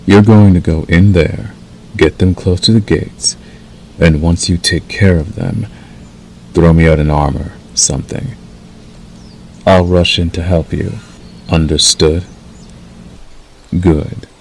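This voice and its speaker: A man voicing a possessive, menacing male werewolf lover in an ASMR roleplay, slow and deliberate, coaxing and threatening with a gravelly growl. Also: very deep voice, exaggerated voice. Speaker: audio roleplay voice actor. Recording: faint background noise.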